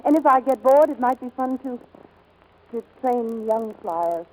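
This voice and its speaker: A woman's voice: on the high side, expressive, measured pace, darkish tone, a little hiss or hum, low-quality recording. An actress playing an earnest, romantic but guarded young woman pilot in 1940s radio drama style. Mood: tender, romantic. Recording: old 1940s radio broadcast, music behind.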